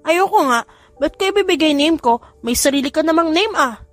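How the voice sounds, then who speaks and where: A woman's voice: high-pitched, animated, brisk, pitched above the performer's own voice. A woman voicing a feisty, sulky little girl in an audiobook, snappish and grudging, with a Filipino accent. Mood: defiant, annoyed. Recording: background music under the voice.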